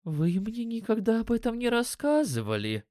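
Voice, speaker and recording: A man voicing a curious, teasing and gentle young female elf in an audiobook story. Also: deep voice, very animated, measured pace, no room sound, clean studio sound. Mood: teasing surprise.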